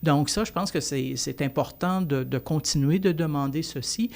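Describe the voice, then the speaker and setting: A man's voice: a little high, normal speed, vocal fry. A man, a podcast guest earnestly explaining at length and restarting his phrases often. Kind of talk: podcast panel discussion.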